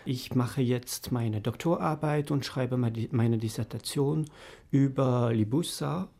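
Slow, halting man from France, dark gravelly voice. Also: mid-range voice. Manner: halting, many fillers and restarts. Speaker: interview guest, doctoral researcher. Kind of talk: academic interview.

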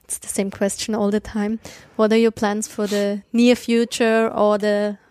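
A woman interviewing a guest, asking short questions and giving frequent soft acknowledgements. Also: medium pitch, flat delivery, measured pace, clear, resonant tone, no echo. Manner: brief, attentive, many soft acknowledgements.

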